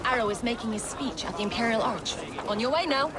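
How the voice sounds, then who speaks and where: High, lively, blunt contest mistress played by a woman. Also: brisk, lightly acted. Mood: approving. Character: brisk, cheeky woman running an archery contest. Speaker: contest announcer. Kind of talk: video game cutscene acting. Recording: noisy outdoor crowd ambience.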